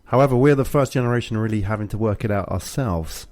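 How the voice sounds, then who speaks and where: A man's voice: on the low side, quick pace, a little mellow. A British man hosting a conversational discussion, explaining things and often checking in with short tag questions. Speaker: show host. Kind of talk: conversational discussion of personal finance.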